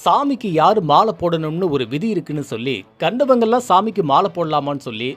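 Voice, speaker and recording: A man's voice: a little high, lively intonation, a little fast. A man presenting scripted social commentary in an emphatic, indignant manner full of rhetorical questions.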